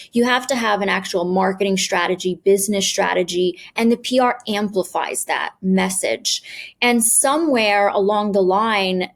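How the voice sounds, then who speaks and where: A woman from New York, a PR agency owner speaking as an interview guest, confident and direct as she explains at length. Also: medium pitch. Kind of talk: interview answers.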